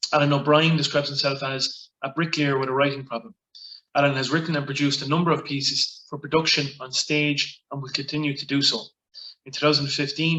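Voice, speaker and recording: A man's voice: mid-range voice, pushed and bright. A man with an Irish accent giving a formal scripted introduction as an event presenter. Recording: echoey room sound.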